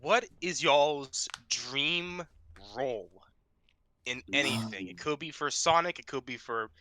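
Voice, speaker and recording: A man casually putting a question to an online panel in a halting, jokey way. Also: medium pitch, expressive, sharp tone, no room sound. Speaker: audience member asking a question. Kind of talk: casual chat. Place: online panel over voice call.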